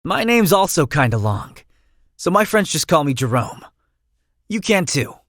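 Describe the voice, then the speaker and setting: Game role: idealistic chatty young noble, bright-eyed and breezy, high voice, very lively pitch, dry close sound. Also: male voice, measured pace, no room sound, heavily acted. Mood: playful. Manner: talkative, breezy, theatrical. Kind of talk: video game voice acting.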